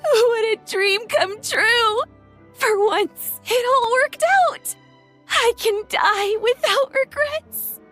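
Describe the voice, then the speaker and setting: A woman voicing an excitable, melodramatic female film director in an anime game, gushing theatrically. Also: very high voice, takes it slowly, heavily acted. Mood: tearful joy. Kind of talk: anime video game voice acting. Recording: music playing behind the voice.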